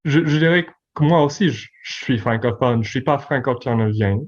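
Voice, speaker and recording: Man, from Newfoundland and Labrador, casual guest, stumbles and repeats words, mid pitch, slightly muffled recording. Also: normal speed. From Newfoundland and Labrador, Canada. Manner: hesitant, repeats words, laughs easily. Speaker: newspaper director. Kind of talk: casual conversation.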